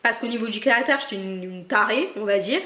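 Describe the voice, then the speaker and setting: A woman's voice: medium pitch, fast, bright, forceful tone. A young woman from Cameroon, chatting casually as she answers her viewers' questions in a playful, teasing way. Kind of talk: casual Q&A vlog. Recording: echoey room.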